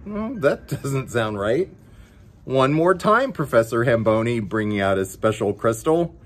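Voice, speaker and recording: A man's voice: mid-range voice, very animated, unhurried. A man reading a children's picture book aloud as story time host, with playful, chatty asides to his pet dog.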